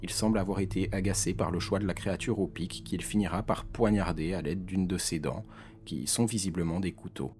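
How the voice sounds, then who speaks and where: A man giving scripted explanatory narration in French video-essay style, with a measured, serious storytelling manner. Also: low voice, fairly even intonation. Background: background music.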